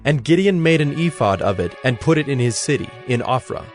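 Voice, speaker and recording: Male narrator for dramatized scripture, medium pitch, measured and solemn, light music underneath. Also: animated.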